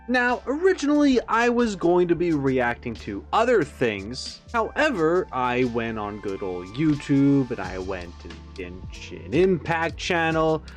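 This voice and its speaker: A man hosting a reaction video with casual commentary, stressing words theatrically and pausing for emphasis. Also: on the high side.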